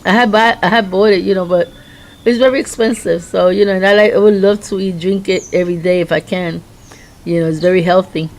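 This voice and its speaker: A middle-aged woman with a hoarse voice giving a rambling, chatty garden tour outdoors, often stopping and restarting her sentences. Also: lowish voice, steady tone, fairly quick, a little mellow. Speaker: home gardener. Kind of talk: casual garden tour vlog.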